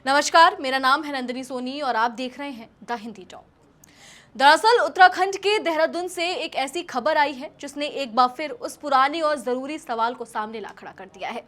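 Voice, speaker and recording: High-pitched woman presenting news commentary. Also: fairly bright tone. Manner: emphatic, rhetorical questioning delivery.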